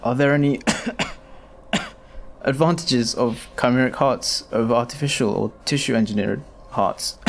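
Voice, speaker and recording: A man's voice: medium pitch, measured pace, creaky, pauses often, slight background noise. A man playing a wary, ailing patient with a cough who questions his options in an amateur audio drama. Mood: weak, questioning. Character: dying man anxious to prolong his life, wary and questioning.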